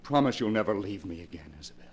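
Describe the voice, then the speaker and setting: Volatile bewitched husband played by a man, wildly expressive. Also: on the low side, quick pace, lightly acted. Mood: lovesick pleading. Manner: theatrical, swinging from hushed to frantic. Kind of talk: 1940s radio horror acting. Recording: noisy vintage 1940s radio recording.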